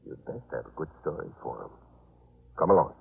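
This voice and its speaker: A very low, gravelly voice a suspicious veteran sentry. He blusters and taunts with animated swings of pitch, full of petty authority. It sounds like muffled 1950s radio drama. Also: brisk, heavily acted. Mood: stern, interrogating. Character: suspicious, officious veteran male night sentry. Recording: muffled, band-limited 1950s radio recording.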